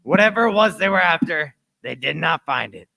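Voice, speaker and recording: A man playing an earnest young narrator recounting his adventure deliberately in a scripted radio play. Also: fairly high pitch, expressive, gravelly, sharp tone, full character voice. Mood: grave, recounting.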